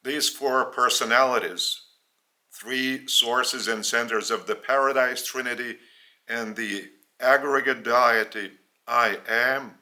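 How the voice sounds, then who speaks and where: A man with a Lithuanian accent delivers a spiritual teaching in English, his first language being Lithuanian. He speaks very slowly, breaking his sentences into short, halting phrases. His voice has a very bright, forceful edge. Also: medium pitch, steady tone, poor audio. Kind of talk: spoken religious teaching in English.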